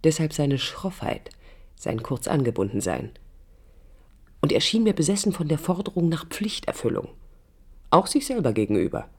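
Expressive young idealistic woman architect. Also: low-pitched, moderate pace, long pauses, husky, heavily acted. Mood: critical, wary. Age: young adult. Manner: reflective, literary, emphatic short phrases. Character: idealistic, restless young woman architect starting a new job. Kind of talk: 1980s German radio drama, introspective first-person narration.

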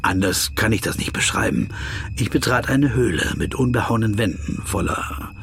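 A man's voice: on the low side, animated, moderate pace, creaky, fairly bright tone. An actor playing a stocky, fussy legal counsel who recounts a surreal trip in literary first person, savoring his words. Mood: puzzled, wondering. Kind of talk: literary first-person narration in a radio play.

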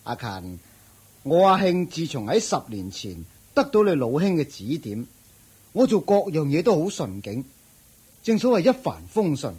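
Husky, animated sly newly rich man. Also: a little high, normal speed, heavily acted. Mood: reflective, aggrieved. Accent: Hong Kong Cantonese. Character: newly rich man, once scorned, slyly plotting mischief. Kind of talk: Buddhist radio drama acting.

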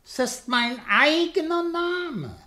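A man's voice: extremely high pitch, expressive, takes it slowly, sharp tone, full character voice. An actor playing a prim, self-important clergyman at a christening in a period radio play. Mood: prim, solemn. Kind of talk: German radio play acting.

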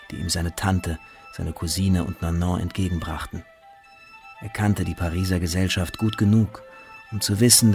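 A man's voice: low-pitched, vocal fry. A man narrating a literary radio drama from a script.

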